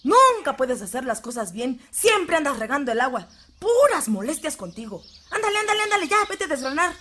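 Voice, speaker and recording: A woman acts out a cold, bossy Mexican village mother in a radio play. Her voice is high and dismissive, always scolding. Farmyard birds and roosters are clearly heard behind her. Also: very animated, a little fast, bright, forceful tone, a little hiss or hum, full character voice. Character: harsh, scornful rural Mexican mother, greedy and cold. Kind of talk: audio fiction acting.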